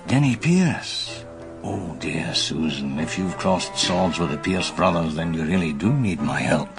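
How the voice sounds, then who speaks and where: A man's voice: sits low, expressive, measured pace, over loud music. A man with a British accent playing a knowing, chummy ally of detectives in a radio drama, familiar and theatrical.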